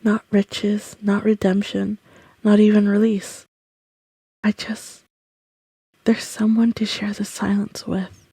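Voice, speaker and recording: A woman voicing a lonely, gentle ghost in an audio roleplay, slow and pleading, with a breathy, partly whispered voice. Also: mid-range voice, monotone, no echo, exaggerated voice. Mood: wistful, pleading. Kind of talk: scripted audio roleplay monologue.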